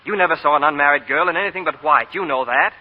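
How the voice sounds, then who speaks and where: An actor playing an earnest, exasperated banker and suitor in a 1940s radio drama, emphatic and theatrical. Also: male voice, fairly high pitch, quick pace, close to an everyday voice. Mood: frustrated, stern. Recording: muffled 1940 radio broadcast, hall reverb.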